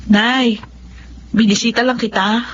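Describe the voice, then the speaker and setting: A woman's voice: fairly high pitch, steady tone, measured pace, close to an everyday voice. A woman playing a frank, skeptical but responsible elder sister in a Filipino audio drama. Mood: reassuring. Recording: dull lo-fi audio, faint noise.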